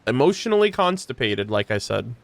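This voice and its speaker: A woman streamer giving casual, expressive reaction commentary on a game, informal and prone to swearing. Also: rumbling low, fairly quick, creaky, heavy background noise.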